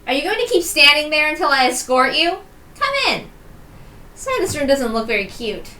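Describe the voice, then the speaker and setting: Brash young twin sister, read by a woman, high, bright, teasing, roomy recording. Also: sing-song, normal speed, exaggerated voice. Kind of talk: visual novel character reading. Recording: roomy, distant mic with faint noise.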